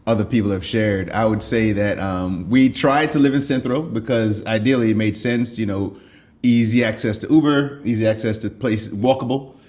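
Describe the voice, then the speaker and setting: A man's voice: on the low side, normal speed, a little mellow. A man speaking as a guest in a casual interview, easygoing and laughing as he recounts things.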